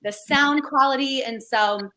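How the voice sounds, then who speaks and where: A woman's voice: sits high, a little slow. A young woman, a conference planner, chatting casually about running a virtual event, with frequent fillers. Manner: relaxed, conversational, frequent fillers. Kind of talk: casual chat.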